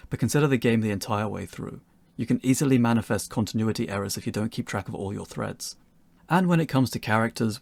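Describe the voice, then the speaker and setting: A man's voice: mid-range voice, creaky, darkish tone, no room sound. A man narrating a scripted tutorial in an articulate way with wry phrasing. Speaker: game developer giving a tutorial.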